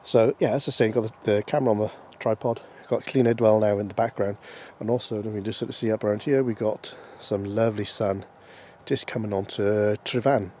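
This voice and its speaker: A man's voice: fairly low pitch, steady tone, a little fast, warm tone, slight background noise. Stuffy-nosed man vlogging outdoors. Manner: chatty, many ums and restarts. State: congested from hay fever. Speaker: landscape photographer. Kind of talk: casual outdoor vlog. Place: outdoors in a mountain valley.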